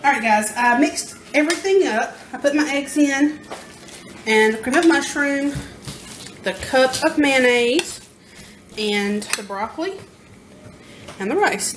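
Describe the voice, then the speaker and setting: A woman's voice: sits high, background noise. A woman, chatting casually as she demonstrates a recipe in her home kitchen. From American South. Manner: chatty and self-deprecating, talking while working. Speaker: home cooking vlogger. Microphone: camera mic from across the kitchen. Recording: echoey kitchen, camera mic at a distance. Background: clinking pots, pans and utensils.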